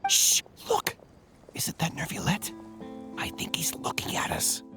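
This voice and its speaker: An actor playing a casual, joking but loyal guard in a game. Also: male voice, a little slow, in a whisper, loud music behind the voice, lightly acted. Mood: hushed, excited. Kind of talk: game dialogue.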